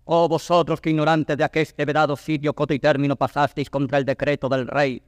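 A man's voice: a little high, little pitch movement, brisk, lightly acted. A man playing a stern, commanding noble prison warden, declaiming formal verse with authority in a classical stage drama. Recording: studio theatre recording, long reverb.

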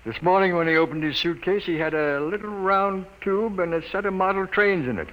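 An actor playing a brisk, suspicious boss with a blunt, slangy, commanding manner in a vintage radio drama. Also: male voice, sits high, highs cut off, close to an everyday voice. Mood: suspicious, puzzled. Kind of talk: 1940s-style radio drama acting. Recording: noisy old radio broadcast.

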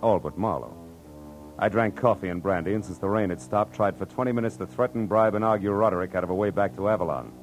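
An actor with an American accent playing a wry, tough private eye in slangy 1940s radio style. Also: male voice, deep voice, fast, lightly acted, rough recording. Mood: wry narration. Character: wry, hard-boiled male private eye. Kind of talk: 1940s radio acting, first-person narration. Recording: old radio drama with music.

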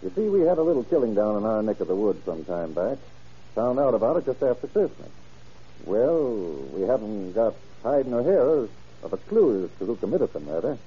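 An actor in an old-time radio crime drama playing a folksy, methodical middle-aged county sheriff, speaking plainly and deliberately. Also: male voice, mid-range voice, big pitch swings, slightly rough. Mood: folksy, inquiring. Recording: muffled vintage radio drama recording.

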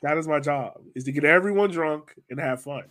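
A man's voice: on the high side, brisk, fairly bright tone. A man hosting a casual sports podcast, talking in an informal, colloquial, emphatic way. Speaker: podcast host.

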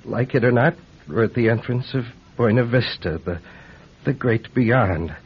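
A man acting in a radio drama as a tired, resigned old man who speaks slowly and haltingly. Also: medium pitch, husky. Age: older. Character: weary, resigned old man accepting his own death. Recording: dull, faintly noisy old radio recording.

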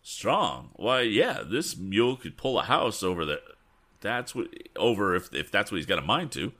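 A man's voice: fairly low pitch, big pitch swings, normal speed, a bit bright. A man voicing a folksy, apologetic, stubborn mule driver from a storybook, with a hesitant, rambling dialect. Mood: proud, eager.

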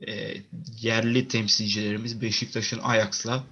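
A man's voice: fairly low pitch, little pitch movement, normal speed, sharp tone. A man co-hosting a football podcast, chatting casually, giving opinions and steering the discussion. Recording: dull, lo-fi sound.

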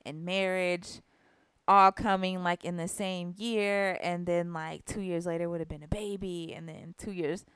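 Rambling young woman, low and smooth. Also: fairly even intonation, unhurried, fairly bright tone, dry recording, clean studio sound. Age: 20s. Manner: rambling, lots of "like" and "you know". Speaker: podcast co-host. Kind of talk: casual chat.

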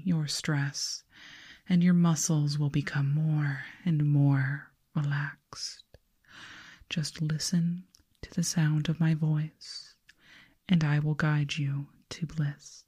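A calm alien girl played by a woman hypnotizes her human captive in an ASMR roleplay. Her voice is low, soft and mellow, mostly whispered with a breathy edge. She gives soothing commands with quiet, measured authority. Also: full character voice. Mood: soothing, commanding.

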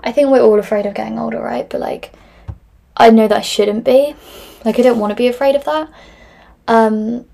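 This is a woman in her twenties talking through listener questions on her podcast. Her voice sits a touch high and carries a gravelly fry. She rambles, fills gaps with "like" and "um," and restarts her thoughts often. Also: fairly even intonation, moderate pace, pauses often, warm tone. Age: 20s. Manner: rambling, many fillers and restarts, laughs often. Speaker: podcast host. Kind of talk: casual solo chat answering listener questions.

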